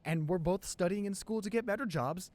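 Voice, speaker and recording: A man playing an excited young college boyfriend in an improvised ASMR roleplay, gushing and halting, repeating himself in sentimental amazement. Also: sits high, close to an everyday voice. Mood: elated, giddy.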